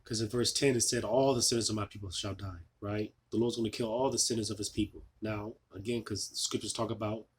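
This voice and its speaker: A man losing his voice, giving an informal scripture sermon, halting with many fillers and restarts. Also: lowish voice, fairly even intonation, a little hiss or hum. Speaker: preacher.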